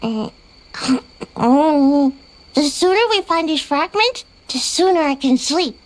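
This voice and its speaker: A woman's voice: high voice, animated, slow, poor audio. A woman voicing a determined, slightly grumpy little witch girl in a video game, self-assured and childlike, often muttering to herself. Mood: sleepy.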